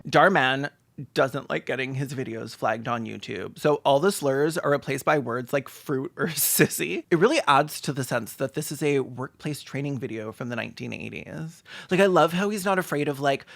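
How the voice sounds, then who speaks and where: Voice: mid-range voice, normal speed, vocal fry, no room sound. A comedic commentator reacting to videos with sarcastic, campy, playful delivery and mocking asides. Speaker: YouTube host and commentator.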